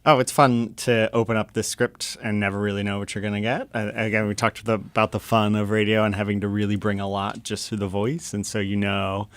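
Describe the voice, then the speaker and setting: Low-voiced man, close and dry. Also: little pitch movement, brisk, hardly any pauses, no room sound. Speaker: actor guest. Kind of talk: casual interview chat. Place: radio studio.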